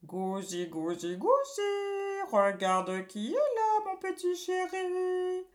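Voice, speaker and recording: A woman voicing a boastful, scolding witch from a children's story, with drawn-out taunts and silly insults. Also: very high voice, sing-song, voice pushed up, exaggerated voice. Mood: wheedling, mock sweet.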